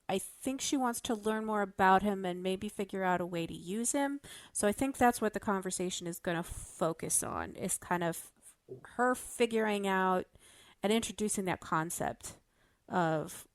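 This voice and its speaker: A woman's voice: medium pitch, dry recording. A woman guesting on a casual podcast discussion, thinking aloud a little hesitantly.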